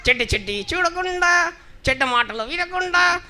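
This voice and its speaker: Childlike puppet doctor, high-pitched, swooping intonation, boastful, mischievous, children's puppet comedy. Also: lively intonation, normal speed, pushed and bright, heavily acted. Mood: mischievous, bossy. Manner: boastful, repeats own name, mischievous questioning.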